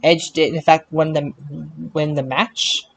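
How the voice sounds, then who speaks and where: A man hosting a casual panel podcast about wrestling results, speaking in an emphatic, excitable way. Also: a little high, a little slow. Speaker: podcast host.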